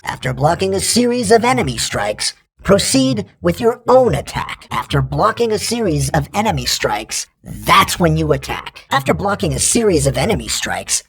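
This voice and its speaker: An actor voicing a stern, precise male training droid in a video game, giving clipped, formal combat instructions in a raspy voice with robotic processing. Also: fairly high pitch, fairly bright tone, full character voice. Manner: terse, formal, imperative, emotionless correction.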